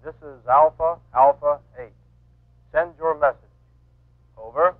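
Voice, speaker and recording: A man acts out a formal, terse military radio operator from a vintage training film. His voice is on the higher side. The recording is dry and phone-like. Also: a little slow, no echo, close to an everyday voice. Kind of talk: clipped radio procedure. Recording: narrow tinny old film soundtrack.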